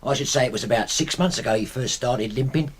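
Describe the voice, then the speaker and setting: Actor as an anxious, deferential manservant, slightly husky. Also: male voice, mid-range voice, quick pace, close to an everyday voice. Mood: sheepish, respectful. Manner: deferential, hesitant, homely speech. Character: deferential, anxious, loyal male household servant. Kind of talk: radio drama acting.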